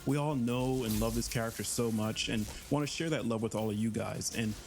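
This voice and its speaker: A man's voice: mid-range voice, brisk, vocal fry, a little mellow, faint music behind. A man presenting a game in a promotional showcase as one of its developers. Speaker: game developer on the narrative team.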